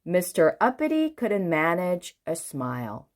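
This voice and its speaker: A woman reading a children's book aloud in an expressive way, putting questions to the listener. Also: on the low side, measured pace. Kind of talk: animated read-aloud storytelling for children.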